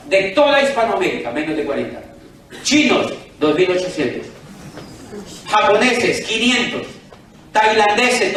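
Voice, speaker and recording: A man's voice: high-pitched, fairly bright tone, far from the mic, a little hiss or hum. A Colombian man giving a persuasive sales presentation to a live audience, asking them questions directly. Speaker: business presenter. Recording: echoey room, speaker away from the mic.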